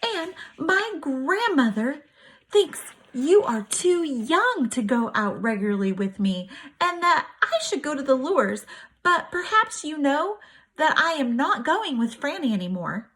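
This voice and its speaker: A woman's voice: high voice, big pitch swings, a little slow, fairly bright tone, heavily acted. A woman voicing an earnest, polite teenage boy in a storybook read-aloud, eagerly explaining himself.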